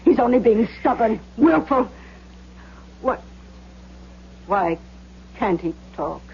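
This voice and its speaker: On a muffled, faintly humming radio drama recording, a wizened, harsh old German mother. She is distraught and halting, and her pitch swings wildly as she protests. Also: expressive, a little hiss or hum. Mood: agitated, bewildered. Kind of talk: 1970s radio drama acting.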